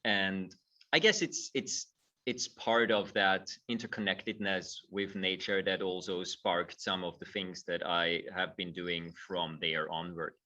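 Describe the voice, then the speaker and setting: Guest, middle-aged man, low voice, thoughtful, distant muffled mic. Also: fairly even intonation, unhurried, bright, forceful tone. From Austria. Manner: thoughtful, repeats words when starting phrases. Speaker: guest, movement teacher. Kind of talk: conversational interview.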